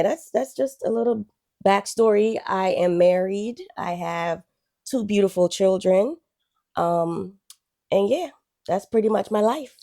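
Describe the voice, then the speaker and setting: A woman in her forties from Guyana, a guest telling her life story in a relaxed, chatty way. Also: lowish voice, creaky. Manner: relaxed, chatty, with frequent "um" and "you know".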